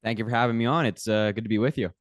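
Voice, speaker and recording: A man's voice: lowish voice, steady tone, very fast, no room sound, studio quality. A young man in his twenties who grew up in Ireland, answering interview questions earnestly with plenty of fillers. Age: 20s. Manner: earnest, many fillers like "like" and "you know". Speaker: guest, young political activist.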